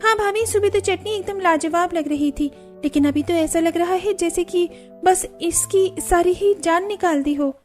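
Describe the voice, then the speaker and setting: A chatty young female in-law in a cartoon family drama, played by a woman. Her voice is very high and sits over music. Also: normal speed, a little mellow. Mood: disappointed.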